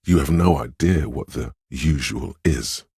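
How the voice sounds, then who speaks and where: A man voicing a sly, manipulative inner voice in a video game, husky and breathy, speaking in a measured, coaxing, insinuating way. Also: a bass voice, a little mellow, no room sound, clean studio sound, heavily acted. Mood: knowing, coaxing. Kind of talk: scripted video game voice acting.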